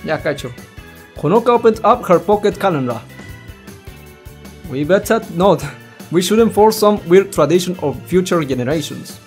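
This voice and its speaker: A man's voice: high-pitched, expressive, normal speed, pauses often, darkish tone, faint music behind. A man giving casual let's-play commentary, reading lines aloud and rambling, stumbling over words and swearing mildly. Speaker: let's player. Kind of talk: casual reaction commentary while reading a visual novel aloud.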